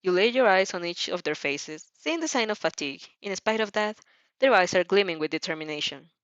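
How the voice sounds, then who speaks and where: A woman's voice: on the low side, expressive, fairly quick, a bit bright, no room sound. Dry, slightly dull woman narrator. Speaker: fan fiction reader. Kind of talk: expressive audio story narration.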